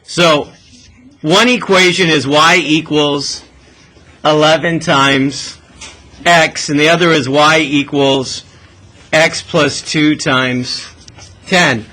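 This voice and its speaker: A man's voice: a little high, fairly even intonation, slow, pauses often, bright, forceful tone. A man teaching a class as a math teacher, explaining step by step and often asking questions to check understanding. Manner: asks frequent checking questions.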